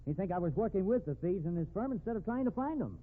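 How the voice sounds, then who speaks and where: A man's voice: high-pitched, animated, fast talker. A tough male investigator in a 1950s radio drama. His voice swings widely and is a little muddy, with slangy, emphatic delivery. The recording is thin and tinny. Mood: flustered, indignant. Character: hard-boiled male investigator, bullying, flustered when cornered. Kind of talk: 1950s radio mystery acting.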